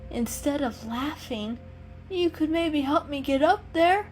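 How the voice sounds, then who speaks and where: A woman's voice: high-pitched, animated, measured pace, darkish tone, soft background music, pitched above the performer's own voice. A woman voicing a small round tuxedo kitten, earnest and a little hesitant, in a storybook reading. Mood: meek, pleading.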